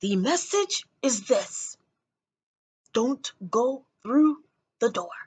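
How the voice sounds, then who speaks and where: A woman's voice: on the high side, very animated, extremely slow, a little mellow, husky, pitched above the performer's own voice, heavily acted. Woman doing an eccentric, secretive old man. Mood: secretive, ominous. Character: crazy old man upstairs, mysterious mustached mouse-keeper.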